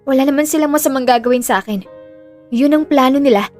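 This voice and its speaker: A woman's voice: high-pitched, fast, darkish tone, close to an everyday voice. A woman playing an earnest, burdened young woman in an audio drama, confiding in a subdued way with a Filipino accent. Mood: grave, resolved. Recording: music under the voice.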